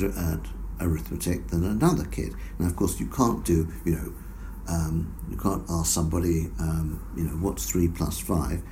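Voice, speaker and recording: Male researcher guest, very low gravelly voice, dark tone, measured explainer with ums. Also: a little hiss or hum, poor audio. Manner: measured, explanatory, many fillers. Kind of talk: academic interview answers.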